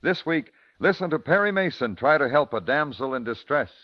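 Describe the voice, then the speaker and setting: A man's voice: a little high, expressive, moderate pace, slight background noise. An American man announcing a 1950s network radio show in a polished, formal, dramatic scripted style. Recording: dull vintage 1950s radio recording, music behind.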